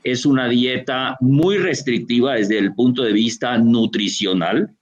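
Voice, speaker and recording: A gastroenterologist from Colombia with a Barranquilla accent, explaining a medical answer over a video call, with frequent fillers and restarts. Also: male voice, mid-range voice, unhurried. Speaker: gastroenterologist lecturer. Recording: dull lo-fi video call audio.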